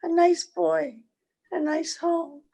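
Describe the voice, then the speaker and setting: A high, creaky female voice from an actress plays a dying woman in an old-time radio drama. She is tender and blissful but worn out, speaking slowly and haltingly. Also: flat delivery, dark tone, heavily acted. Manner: halting, repeating phrases tenderly.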